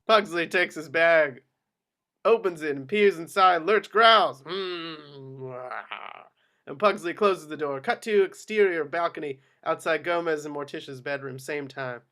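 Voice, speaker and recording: Guy narrating a script's action lines at a table read, voice on the higher side. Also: unhurried, pushed and bright. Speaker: stage directions narrator.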